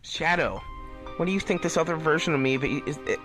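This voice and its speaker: Man acting a higher-pitched anxious young hedgehog hero. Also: brisk, loud music behind the voice, natural voice. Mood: hesitant, worried. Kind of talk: fan fiction audio drama reading.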